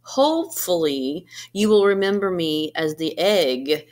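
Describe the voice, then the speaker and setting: A woman voicing an earnest, anxious yet determined egg in an expressive picture-book read-aloud, with dramatic emphasis and pauses. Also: on the low side, noisy background. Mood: triumphant.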